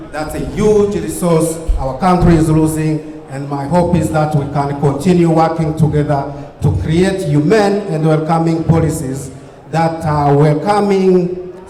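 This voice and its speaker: A man with a Ugandan accent delivers an earnest keynote, his voice slightly high and mellow. He stacks up repeated phrases as he speaks. The sound rings through a big hall with a long, echoing tail. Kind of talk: gala speech. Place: banquet hall. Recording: echoey hall with long reverb.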